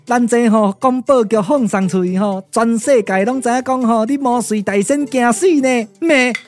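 A man's voice: very high voice, normal speed, soft background music. A man voicing an impudent young disciple who teases and goads his master in a puppet radio drama. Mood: goading. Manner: teasing, goading.

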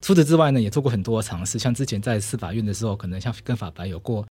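A man's voice: fairly low pitch, rapid-fire, dark tone, breathy edge, no room sound. A man with a Taiwanese Mandarin accent hosting a conversational podcast interview, explaining things in a chatty, wordy way. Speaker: podcast host.

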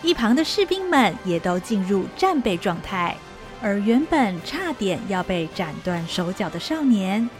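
A woman's voice: medium pitch, very animated, unhurried, soft background music. A woman narrating a historical radio drama in a vivid, dramatic storytelling style.

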